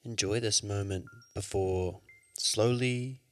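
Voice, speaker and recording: A man leading a guided yoga nidra meditation as an instructor, with soothing, measured instructions. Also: on the low side, steady tone, no echo, soft background music.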